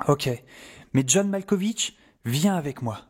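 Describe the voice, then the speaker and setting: A man's voice: fairly high pitch, animated, unhurried, a little mellow, slightly rough. A man playing a young professor, the wry and hesitant hero of an audio drama, who talks colloquially and narrates his own story. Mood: firm, nervous. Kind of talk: audio drama dialogue and first-person narration.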